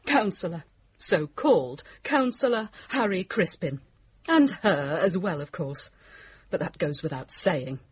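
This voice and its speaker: An actress playing a proud, composed, sharp-tongued widow in a radio drama. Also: female voice, lowish voice, sing-song, unhurried, husky, no room sound, full character voice. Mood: indignant, scornful.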